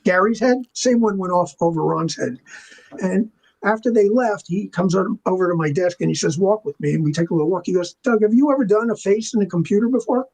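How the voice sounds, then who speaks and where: An older man with a noticeably high-pitched voice recounts stories as an interview guest. He talks casually and colloquially, like a veteran of his trade, and acts out both sides of remembered conversations. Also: fairly quick, a little mellow, low-quality recording. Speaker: interview guest, veteran visual effects artist. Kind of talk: casual anecdotal storytelling in an interview.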